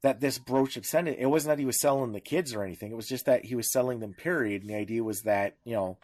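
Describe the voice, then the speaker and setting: Halting man in casual podcast discussion. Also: mid-range voice, darkish tone. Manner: halting, searching for words, rephrasing often. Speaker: podcast co-host.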